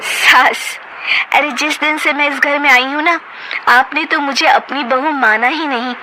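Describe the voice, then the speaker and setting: A woman doing a feisty young wife, high and defiant. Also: expressive, moderate pace, slight background noise, low-quality recording. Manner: defiant, sharp-tongued.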